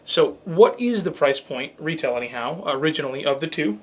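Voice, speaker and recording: A man's voice: fairly high pitch, animated, fairly quick. A man co-hosting a review show in a scripted but conversational, presenterly way. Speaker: show co-host.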